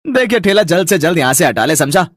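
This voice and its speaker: A man acts out a greedy rival shop owner for a cartoon. His voice rides high for a man, with no room echo at all. He bullies and gloats as he talks, throwing threats around. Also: very animated, quick pace, a bit bright, clean studio sound. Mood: threatening. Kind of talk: Hindi cartoon story dubbing.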